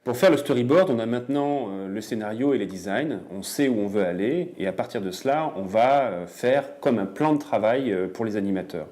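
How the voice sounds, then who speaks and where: Man, documentary interviewee; warm low voice, hesitant explanatory delivery. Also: animated, fairly quick, few pauses. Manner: explanatory, many hesitations with euh. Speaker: animation professional, scriptwriter or storyboard artist.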